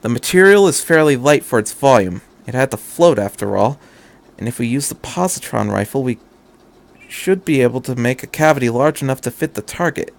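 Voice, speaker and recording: Man as a quiet, analytical female scientist. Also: medium pitch, lively intonation, vocal fry. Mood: dry, pensive. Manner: measured, matter-of-fact. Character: calm analytical female scientist, dry and sceptical. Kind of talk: fan fiction audiobook character.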